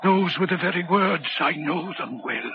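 Casting: lonely, courteous signalman played by a man, highish voice, grave and halting, vintage radio drama with muffled sound. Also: natural voice. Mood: uneasy, probing. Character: lonely, haunted railway signalman, brooding and courteous. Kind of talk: 1940s radio drama acting. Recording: dull, noisy 1940s radio recording.

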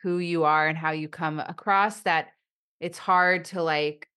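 A woman's voice: low-pitched, steady tone, unhurried, pushed and bright, clear, resonant tone. A woman hosting a podcast interview who speaks with a stutter, with repeated sounds and blocks, and talks in a reflective, thoughtful way. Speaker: podcast host. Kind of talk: conversational interview.

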